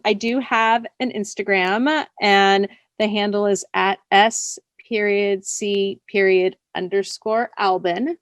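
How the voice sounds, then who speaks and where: Woman writer doing a casual interview, normal pitch, really dry sound no echo. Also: steady tone, slow, hardly any pauses. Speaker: interview guest, author.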